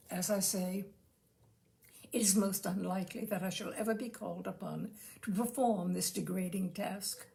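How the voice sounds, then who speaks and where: A woman's voice: mid-range voice, vocal fry, darkish tone. A woman reading a humorous literary essay aloud as a storyteller, with droll, mock-serious delivery and theatrical emphasis. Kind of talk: literary audiobook-style reading of a comic essay.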